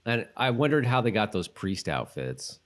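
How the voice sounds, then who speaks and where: Rambling man with a lowish voice. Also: big pitch swings, moderate pace. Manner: rambling, thinks aloud in loose tangents. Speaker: podcast co-host. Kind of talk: casual TV rewatch chat.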